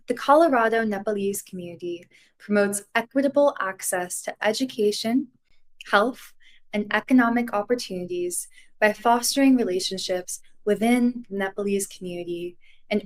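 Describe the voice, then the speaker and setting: A woman acting as event host, reading scripted introductions in a clear, formal way. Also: medium pitch.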